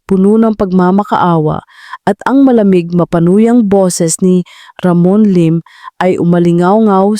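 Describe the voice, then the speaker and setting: A woman's voice: mid-range voice, mellow, no room sound. A woman storyteller reading a scripted audio drama in a dramatic narrating style.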